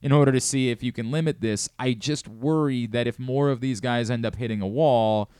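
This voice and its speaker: A smooth, clear male sports radio host recorded close and dry. He talks through his analysis casually, rephrasing and stressing his points as he goes. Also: medium pitch, a little fast, a little mellow, dry recording. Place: radio studio.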